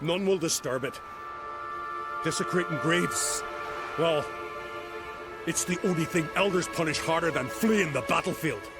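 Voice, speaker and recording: An actor playing a severe village elder and guardian of custom in a fantasy video game, solemn and commanding. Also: male voice, on the high side, measured pace, pauses often, loud music behind the voice. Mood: grudging, solemn.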